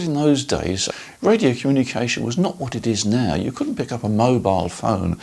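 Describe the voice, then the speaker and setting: A man's voice: mid-range voice, vocal fry, husky. An older man chatting casually to viewers as a video host, in a conversational, rambling way. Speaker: host of a ham radio dealer's video channel. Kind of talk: casual informal talk to viewers.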